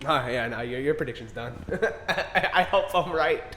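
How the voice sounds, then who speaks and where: Podcast co-host, male, high voice, brash casual sports banter, long room reverb. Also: lively intonation, moderate pace, a bit bright. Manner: brash, slangy, repeats himself for emphasis.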